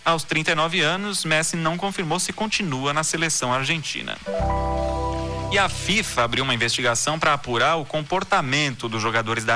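A man from Brazil reads the news as a podcast host, with a very bright, forward tone and a steady, measured cadence. Soft music sits low underneath him. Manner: steady, measured newsreader delivery. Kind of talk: scripted news reading.

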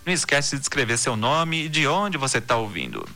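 Bright-voiced male news podcast host. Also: mid-range voice, soft background music. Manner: steady, measured newsreader delivery. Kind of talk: scripted news reading.

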